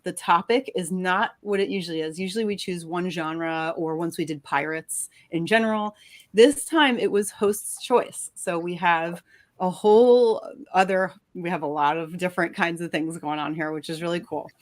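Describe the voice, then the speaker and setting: A woman hosting a live video show, upbeat and chatty, introducing guests and laughing often. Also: fairly low pitch. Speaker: host.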